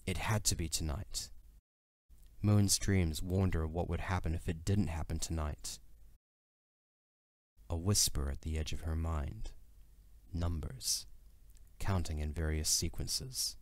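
Deep-voiced man narrating a story. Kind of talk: scripted dramatic story narration.

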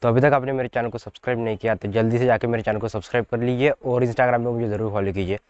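A man's voice: medium pitch, fairly even intonation, racing, darkish tone, clear, resonant tone, no echo, muffled recording. A young man in his twenties, a fitness vlogger giving chatty, repetitive diet advice straight to camera outdoors on a lapel mic. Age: 20s. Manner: chatty, repetitive, addresses viewers as guys. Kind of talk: casual direct-to-camera advice. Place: outdoors in front of trees.

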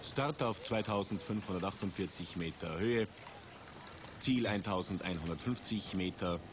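A man commentating a ski race for TV, measured and rising in excitement at big moments. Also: deep voice. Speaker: sports commentator. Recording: 1980s TV broadcast with outdoor noise.

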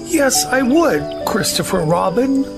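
A slow, unhurried male teddy bear, played by a woman, simple and good-natured. His voice swings up and down as he muses. He belongs to a storybook audio drama. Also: a little high, sing-song, music in the background, full character voice. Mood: mild. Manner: slow, simple-minded, mild.

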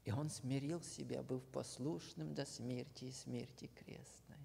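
A man preaching a sermon, slow and earnest, often dropping to a hushed near-whisper at the ends of phrases. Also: medium pitch, steady tone, vocal fry, slight background noise. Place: church hall. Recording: long hall reverb.

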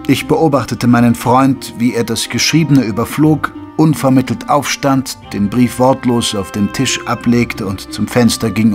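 An actor playing an indignant, curious Victorian gentleman who narrates an audio drama in measured literary German prose. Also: medium pitch, natural voice.

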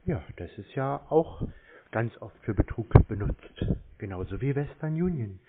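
A man bantering casually on a phone call in a mocking, deadpan way. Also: mid-range voice, moderate pace, very noisy. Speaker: host of a scam-baiting show, prank caller. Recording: narrow tinny phone-line sound.

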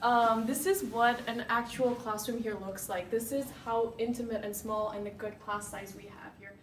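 A woman's voice: a little high, steady tone, moderate pace, reverberant. Young female student guide from Nepal and Tibet, informal and emphatic, distant mic with faint noise. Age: young adult. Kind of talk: casual unscripted campus tour. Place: indoor hallway and classroom.

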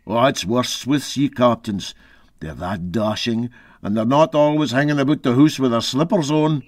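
A man voicing a garrulous, boastful old sea captain with a Scottish Highland accent in a storybook reading. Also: moderate pace, no room sound, studio quality, close to an everyday voice. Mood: cocky. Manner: garrulous, folksy, emphatic.

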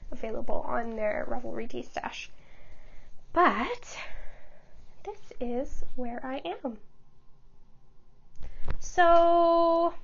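A woman in her twenties hosting a knitting podcast, chatting casually to camera from a small home studio, a little stuffed up from a cold, talking quickly and stumbling over her words with frequent laughs. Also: sits high, vocal fry, highs cut off. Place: small home studio room under studio lights.

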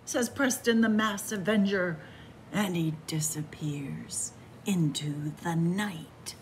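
A middle-aged woman reading a picture book aloud for children, dramatic, with hushed whispers and drawn-out words. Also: on the low side, a little hiss or hum. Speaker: library story time host. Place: small library room with bookshelves, indoors.

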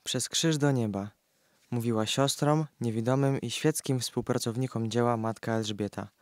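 A man's voice: medium pitch, moderate pace, dry recording, lightly acted. A young man playing a solemn reader of religious history in a scripted radio play. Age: young adult.

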